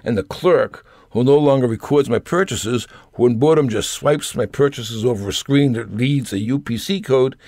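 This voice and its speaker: Older man with a gravelly, creaky voice. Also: mid-range voice, darkish tone, no room sound, studio quality. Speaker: storyteller reading own essay. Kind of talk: scripted personal essay narration.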